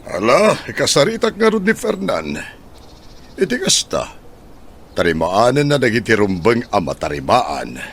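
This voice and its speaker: A lowish, creaky man's voice with hugely animated pitch, a kindly householder in a radio drama. He is sympathetic and practical. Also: a little slow, slight background noise, exaggerated voice. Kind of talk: Philippine radio drama acting.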